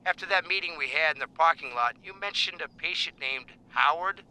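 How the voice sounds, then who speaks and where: A tough investigator in an audio thriller. His voice is very bright and tense, a little high, with a gruffly casual, sardonic edge. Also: fairly quick, low-quality recording. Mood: brisk, irritated. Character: tough, sardonic male investigator tailing a suspect. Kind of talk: audio thriller drama acting.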